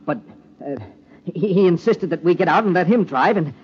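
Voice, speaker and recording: An actor playing a desperate, guilt-ridden killer in an old-time radio drama, hard-boiled but cracking with nerves. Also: male voice, sits high, expressive, husky. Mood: grim, strained. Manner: halting, stammering when explaining. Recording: dull, noisy old radio recording.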